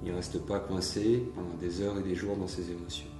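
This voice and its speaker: A man giving a measured scripted narration. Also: low-pitched, little pitch movement. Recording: roomy and distant over music.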